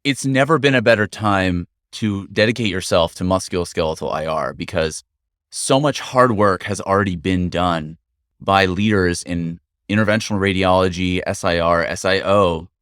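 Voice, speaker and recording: A middle-aged man from the United States speaks as an interview guest, measured and articulate. His voice sits low and resonant. It is recorded close and dry with no room sound. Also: fairly bright tone, no echo. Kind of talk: conversational interview. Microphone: studio microphone.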